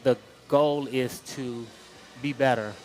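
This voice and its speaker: Halting, nervous man as interview guest. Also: medium pitch, steady tone, faint music behind. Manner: hesitant, halting, with many ums. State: a little nervous. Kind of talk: casual interview answers. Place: outdoor street festival.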